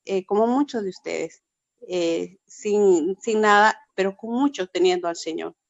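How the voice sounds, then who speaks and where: A woman's voice: mid-range voice, unhurried, gravelly, no room sound, highs cut off. A woman from Peru, a pastor, giving an earnest testimony in a forum over a video call, often repeating herself and adding "verdad". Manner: earnest, repetitive, frequent "verdad".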